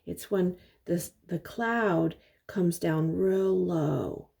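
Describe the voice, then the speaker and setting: Slow, husky older woman reading to children. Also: fairly low pitch. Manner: expressive, simple explanations and questions for little listeners. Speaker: host reading a children's picture book aloud. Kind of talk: read-aloud storytelling with explanatory asides to young children.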